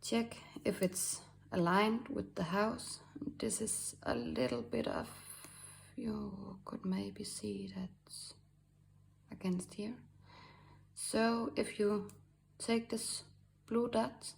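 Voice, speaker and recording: A woman from Denmark with a Danish accent, hosting a drawing tutorial in a halting way with many fillers and soft asides. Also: mid-range voice, gravelly. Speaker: art tutorial host. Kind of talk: screen-recorded drawing tutorial.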